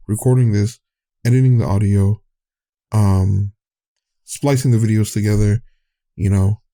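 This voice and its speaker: A man's voice: fairly low pitch, mellow. A man hosting his own podcast, talking in a candid, rambling way, often trailing off and restarting, his voice getting tired. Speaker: podcast host. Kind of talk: casual rambling monologue.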